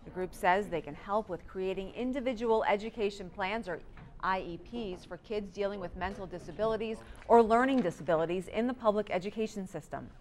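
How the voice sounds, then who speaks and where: American lady news anchor reading the script, normal mid pitch. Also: brisk, a little mellow, slight background noise.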